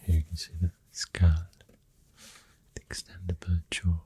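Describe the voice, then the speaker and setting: A very soft, deep ASMR voice with a Swedish accent, almost all breath and whisper. The delivery is slow and flat in melody, gently rambling with pauses, right up close to the microphone. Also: a little hiss or hum. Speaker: ASMR host. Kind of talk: soft-spoken ASMR, rambling facts while drawing. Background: pencil writing and clicking sounds.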